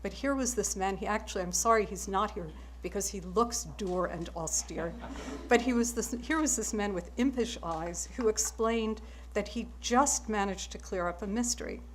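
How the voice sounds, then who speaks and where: A German-born woman with German as her first language, giving a measured, wry acceptance speech. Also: medium pitch, slight background noise.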